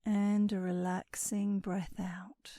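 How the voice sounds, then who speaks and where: A woman instructor narrates a guided meditation for sleep. Her voice is mellow and relaxed, mid-pitched, and soothing. She speaks very slowly, letting phrases trail off into breath. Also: no room sound, clean studio sound.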